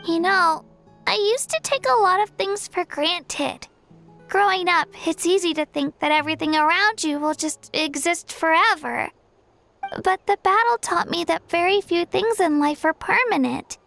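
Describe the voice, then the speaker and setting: A woman voicing an earnest, caring young child villager in video game dialogue, soft-hearted and grateful. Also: very high voice, moderate pace, gravelly, full character voice. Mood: reflective, resolute. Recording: background game music.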